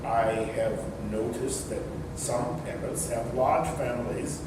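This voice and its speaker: A man's voice: mid-range voice, steady tone, natural voice. A man playing a stubborn, stuffy rich uncle who speaks formally in a staged comedy reading. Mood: firm, uneasy. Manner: formal, deliberate phrasing. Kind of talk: staged readers theater comedy. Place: large room or hall, distant microphone. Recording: echoey room from far off, noisy.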